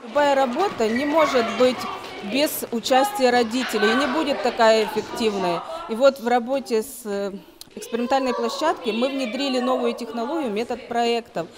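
A middle-aged woman giving an interview explanation as kindergarten staff, halting and often correcting herself. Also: on the high side. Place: large kindergarten gym, indoors. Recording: children and bustle in busy hall. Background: group of children active in the hall.

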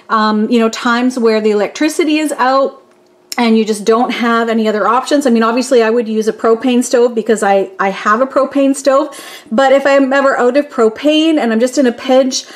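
A fairly high-voiced woman talks through a cooking experiment at her kitchen stove. She sounds chatty and upbeat, thinking aloud with easy ums and pauses. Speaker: home cooking vlogger. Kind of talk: casual cooking demonstration.

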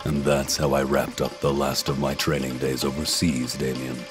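Guy acting as super deep grave vigilante telling his past, comic drama, loud music under it. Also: little pitch movement, normal speed. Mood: remorseful. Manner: solemn, terse. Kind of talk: scripted comic-book narration and dialogue.

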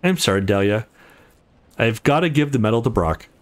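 A man voicing a brisk, official competition announcer in a game, with declamatory delivery. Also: mid-range voice, animated, voice pushed down, lightly acted. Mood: formal.

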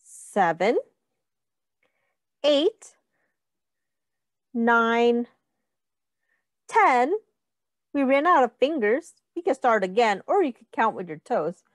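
Very slow, lilting woman teacher reading aloud. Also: on the high side, big pitch swings, no room sound, clean studio sound. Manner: deliberate, instructive, asking the child questions. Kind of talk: children's picture-book read-aloud and counting lesson.

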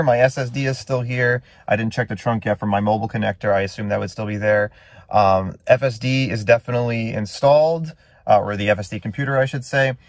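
A man's voice: medium pitch, dry recording, studio quality. A young man talking casually to camera as a vlogger, in a rambling, conversational way, sitting inside a car. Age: young adult.

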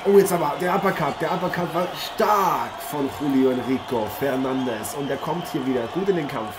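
A man playing a forty-five-year-old veteran boxer who co-commentates a fight in a radio play with excitable, punchy exclamations. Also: on the high side, a little fast, natural voice. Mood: excited. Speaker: sports co-commentator. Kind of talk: live boxing commentary in an amateur radio play. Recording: noisy arena crowd behind the voice.

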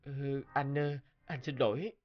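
A man voicing a torn, apologetic young man in an animated melodrama, hesitant and earnest. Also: mid-range voice, a little slow, muffled recording, lightly acted.

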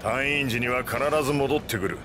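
An actor playing a hearty old grandfatherly adventurer in a video game, with expressive old-man speech. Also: medium pitch, moderate pace, music in the background, close to an everyday voice. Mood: earnest. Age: older. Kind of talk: Japanese video game cutscene acting.

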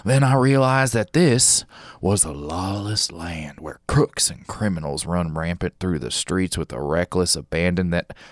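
A man's voice: low voice, unhurried, no room sound, clean studio sound. A man voicing a righteous, weary saloon bartender with a preachy, colloquial manner in a comedic western role-play.

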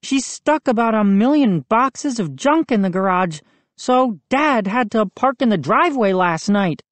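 A woman voicing an excitable boy who is the narrator's friend, loud and agitated. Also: on the high side, unhurried, no room sound, pitched above the performer's own voice.

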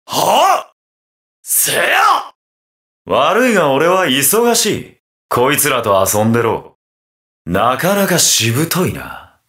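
An actor playing a ruthless, mocking undead armored mercenary villain in a video game, with a taunting, theatrical delivery. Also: male voice, mid-range voice, very animated, unhurried, fairly bright tone. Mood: menacing. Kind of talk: video game battle voice acting.

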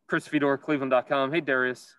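A man working as a sports reporter, asking a player measured, detailed questions at a press conference. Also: mid-range voice, little pitch movement, fairly bright tone, clean tone, no room sound.

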